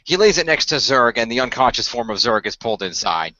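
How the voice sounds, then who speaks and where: A man narrating an action radio play in a halting, improvised way, sometimes correcting himself. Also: medium pitch, very animated, bright, forceful tone, highs cut off. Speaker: radio play creator and performer.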